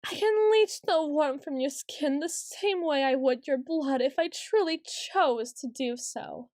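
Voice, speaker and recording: Woman voicing a female vampire, ancient and haughty, slow imperious delivery, petulant, theatrical, close dry sound, audio roleplay. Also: high-pitched, a little mellow, no room sound. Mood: haughty, scornful. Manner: imperious, dramatic, archly formal. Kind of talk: scripted ASMR roleplay monologue.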